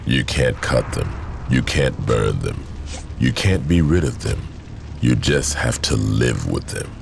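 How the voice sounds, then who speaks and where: A deep gravelly taunting alter ego. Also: male voice, very animated, takes it slowly, background noise, exaggerated voice. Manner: low gravelly taunting drawl. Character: menacing male alter ego, taunting and cold. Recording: game audio over loud music.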